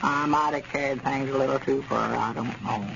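An actor playing a bossy, folksy older country storekeeper with a drawling rural Southern accent in a 1930s radio comedy. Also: male voice, mid-range voice, steady tone, moderate pace, vocal fry, lightly acted. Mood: folksy, self-justifying. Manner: folksy, drawling, dialect grammar. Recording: scratchy, muffled 1930s radio recording.

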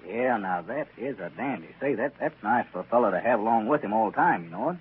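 A man's voice: fairly low pitch, lively intonation, a little fast, slightly rough. A man playing a friendly, helpful small-town neighbor, affable and plainspoken, in a 1930s radio comedy serial. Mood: admiring. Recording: scratchy, tinny 1930s radio broadcast, hissy.

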